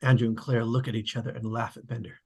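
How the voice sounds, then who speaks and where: A man's voice: medium pitch, little pitch movement, fast, creaky, slightly rough. A man reading stage directions as scripted narration for a table read.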